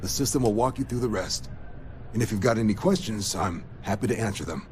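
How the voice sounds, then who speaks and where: A man's voice: on the low side, fairly quick, a little mellow, slight background noise, close to an everyday voice. A male actor playing an assured military recruiter in a space game, with a folksy, persuasive manner. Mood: helpful. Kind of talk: video game dialogue.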